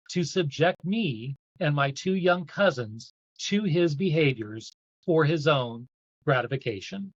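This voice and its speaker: A man hosting an event, reading a written personal account aloud in a measured, earnest way. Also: fairly high pitch, no echo. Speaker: event host reading a submitted story aloud. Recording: dull, lo-fi, dry sound.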